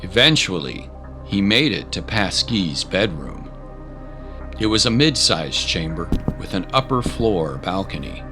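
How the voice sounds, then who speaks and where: A man reading scripted dramatic narration of a fantasy adventure in a measured, deliberate way with pauses for effect. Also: low voice, big pitch swings, sharp tone, soft background music. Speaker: storyteller, narrator.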